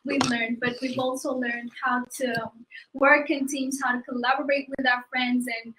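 A woman's voice: high voice, little pitch movement, moderate pace, background noise. A woman from Ethiopia, a junior developer, presenting a backend project on a video call in a fluent, organized way. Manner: fluent, organized, a few ums. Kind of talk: online presentation talk.

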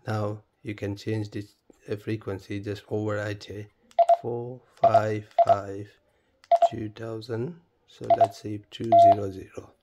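A man presenting a step-by-step tutorial in a plain, halting, instructional manner. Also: lowish voice, little pitch movement, warm tone, a little hiss or hum. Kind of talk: step-by-step spoken tutorial, unscripted.

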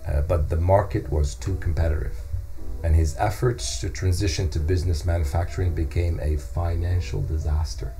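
A middle-aged man playing an earnest hometown journalist in a scripted podcast, telling local history in a measured way with deliberate pauses. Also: low voice, fairly even intonation, warm tone, faint music behind. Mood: wry, animated. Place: small indoor podcast studio. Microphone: close studio microphone on a stand.

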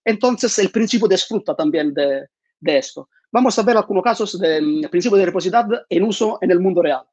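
A man's voice: high voice, expressive, brisk, dry recording. A man, giving an online conference talk in a room at home on a lapel mic, with many fillers and repeated words. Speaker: conference speaker. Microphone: lapel mic on a video call. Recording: dull dry home video call.

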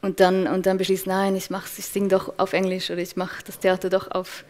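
A woman's voice: medium pitch, monotone, a little fast, a little mellow. Swiss woman, hesitant, thoughtful panel guest. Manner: thoughtful, many restarts and hesitations. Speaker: panel guest, culture officer. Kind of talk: panel discussion answers. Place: event room with large windows.

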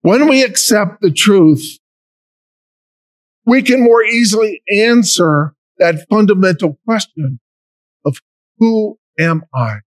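Slow, high-voiced older deacon preaching. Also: male voice, expressive, no echo, rough recording. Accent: American. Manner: slow, measured preaching with emphatic rises. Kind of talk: sermon. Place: large church, at a lectern.

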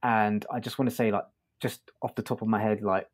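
A man with a fairly low voice co-hosts a review podcast. He talks casually and haltingly, hedging as he works through his thoughts. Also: little pitch movement.

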